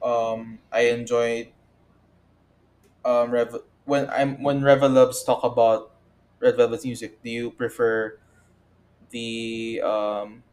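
Podcast co-host: young man, mid-range voice, unhurried and halting, measured, casual analysis. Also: medium pitch, flat delivery, a little mellow. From Philippines.

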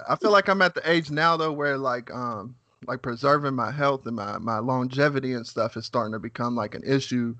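A man in his mid-thirties hosts a podcast in a nervous, halting way, padding his talk with casual fillers. His voice sits at an ordinary pitch, and the recording is dry and close. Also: pushed and bright, dry recording. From West Virginia, USA. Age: 30s. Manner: nervous, halting, lots of "man" and "you know what I'm saying". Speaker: podcast host. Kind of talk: casual interview.

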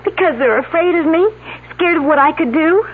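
Frightened young female student, high voice, halting and secretive, tinny old radio drama sound. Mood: fearful, secretive. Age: young adult. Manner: halting, anxious. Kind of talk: 1970s radio drama acting. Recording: tinny, band-limited old radio recording.

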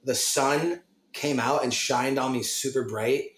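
A man's voice: mid-range voice, steady tone, unhurried, a bit bright, slight background noise. A man as a podcast guest, telling a story casually with effusive, dramatic emphasis. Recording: roomy, echoey sound.

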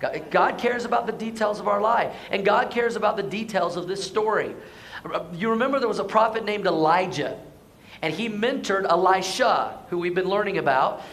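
Hoarse pastor with a high voice. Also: male voice, moderate pace, fairly bright tone. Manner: conversational, asks the congregation to respond. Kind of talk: sermon. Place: church sanctuary.

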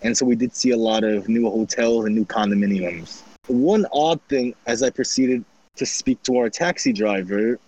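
A man of Armenian background calmly recounting a trip, speaking in a measured way with frequent restarts. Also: medium pitch, a little hiss or hum, poor audio.

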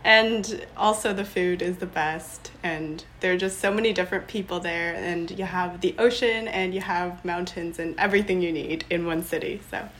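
A woman's voice: on the low side, steady tone, a bit bright, a little hiss or hum. Young woman, bubbly, laughs a lot, podcast guest, casual chat. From Los Angeles, USA. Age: young adult. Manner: bubbly, laughs often, quick restarts.

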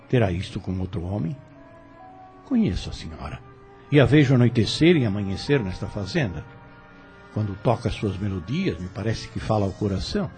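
An actor playing a scheming landowner who persistently courts a young widowed heiress in a radio drama. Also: male voice, fairly low pitch, a little mellow, natural voice.

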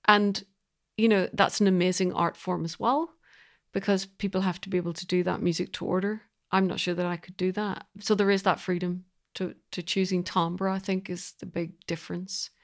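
Low-pitched woman talking reflectively. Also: flat delivery, brisk. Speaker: composer, interview guest. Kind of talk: reflective interview conversation.

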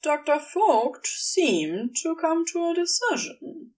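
A woman's voice: very high-pitched, big pitch swings, takes it slowly, darkish tone, far from the mic. A woman narrating a scripted story, recorded slightly away from the microphone.

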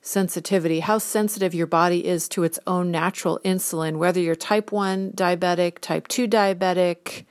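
A woman's voice: fairly low pitch, fairly even intonation, normal speed, few pauses. A woman in her fifties, an art model, talking earnestly in a rambling casual monologue, listing things one by one. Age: 50s.

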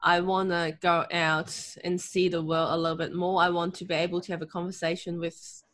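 A middle-aged woman from Hong Kong with a Hong Kong English accent, chatting in an interview, lively, often laughing, with frequent ums. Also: fairly low pitch, fairly quick. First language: Cantonese. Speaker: guest, author and confidence coach. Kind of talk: casual interview talk.

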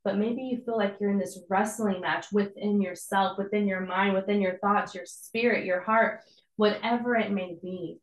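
Young woman, slightly hoarse, encouraging conversational preacher, roomy echo. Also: mid-range voice, fairly even intonation, normal speed. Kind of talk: devotional sermon.

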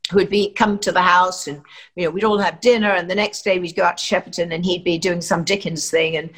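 A woman's voice: lowish voice, fairly even intonation, fast talker, fairly bright tone, slightly rough. An older woman from England, an actress, giving chatty, rambling interview answers full of fillers and restarts.

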